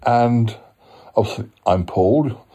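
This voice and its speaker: A polite, dithering host in a whimsical audio story, played by a man. He speaks slowly in a husky voice, hesitating and apologising as he goes. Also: lowish voice, warm tone. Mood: awkward, welcoming. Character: Paul, flustered, apologetic, polite male podcast host.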